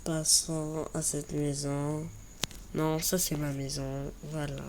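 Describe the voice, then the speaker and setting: Woman, congested from a cold; slow, low, repetitive game chatter over noisy background. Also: little pitch movement, darkish tone. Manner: slow, repeats words while building. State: has a cold, stuffy voice. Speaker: Minecraft YouTuber. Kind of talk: casual gameplay commentary.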